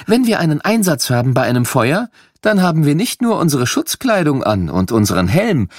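A man's voice: a little high, sing-song, normal speed, no echo, studio quality, voice pushed up. A man voicing a female firefighter patiently explaining her equipment to children.

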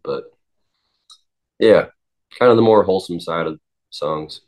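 A man of twenty, a singer-songwriter, answering interview questions in a halting way with many pauses and restarts. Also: deep voice, darkish tone. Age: 20s.

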